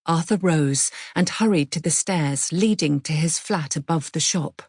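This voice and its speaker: A woman's voice: sits low, a little slow, darkish tone, husky, dry recording, clean studio sound. A woman with a British accent giving a scripted audiobook narration of suspense fiction.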